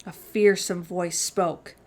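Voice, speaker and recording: Slow-paced woman reading a storybook. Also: lowish voice, steady tone. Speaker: children's storytime host. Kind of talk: dramatic storybook read-aloud for young children.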